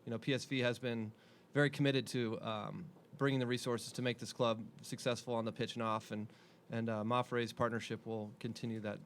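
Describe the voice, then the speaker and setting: A man's voice: medium pitch, level tone, a little fast, slight background noise. A man, the club chairman, answering a reporter's question at a press conference in a composed, businesslike way.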